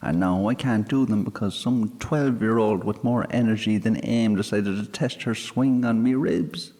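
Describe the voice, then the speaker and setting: A man voicing a tiny, bearded, easily offended male fairy, huffy and sharp-tongued. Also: on the low side, normal speed, voice pushed up, lightly acted. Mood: lecturing. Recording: long hall reverb.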